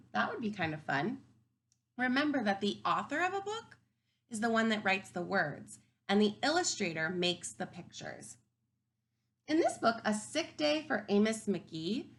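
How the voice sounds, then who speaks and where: A woman teaching kindergarten through a read-aloud, thinking aloud and posing simple questions to children in an expressive, emphatic way. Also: mid-range voice, creaky, slight background noise. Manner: expressive, emphatic storybook delivery.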